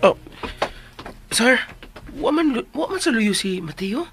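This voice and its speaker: A man's voice: very high-pitched, very animated, a little slow, vocal fry, over loud music, exaggerated voice. A male radio drama actor playing an easygoing, teasing helper with a jokey, drawling manner.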